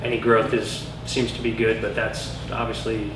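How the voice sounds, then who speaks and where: A man's voice: lowish voice, completely flat delivery, moderate pace, roomy sound, far from the mic, background noise. A man interviewing a guest, asking questions in an easygoing, friendly way. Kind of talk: casual interview.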